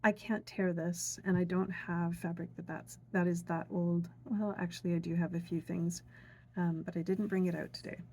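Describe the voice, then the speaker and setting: A middle-aged woman gently explaining a traditional folk craft step by step, unhurried, with many fillers and asides. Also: lowish voice, little pitch movement, slight background noise, rough recording. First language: English. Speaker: craft teacher. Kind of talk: calm step-by-step craft demonstration.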